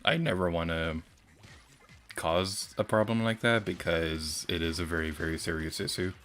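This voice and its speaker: A soft-spoken young man streaming and chatting casually, hesitant, with many pauses and ums. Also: low-pitched, fairly even intonation.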